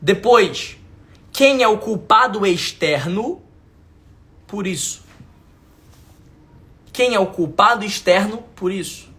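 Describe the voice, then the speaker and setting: A man's voice: high-pitched, expressive, slow, pauses often, fairly bright tone. A man with a Brazilian Portuguese accent, teaching a live class as a mentor, emphatic and informal, repeating his points and checking whether the audience follows. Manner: emphatic, repeats lines, asks the audience if it makes sense; informal slang, talks to viewers directly. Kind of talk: live online class lecture.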